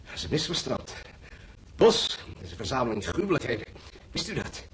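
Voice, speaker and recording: An actor playing a morbidly superstitious hospital patient, frantic and accusatory, in a Dutch radio play. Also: male voice, mid-range voice, big pitch swings, a little fast, natural voice. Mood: morbid, ominous. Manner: frantic, accusatory, prone to wailing.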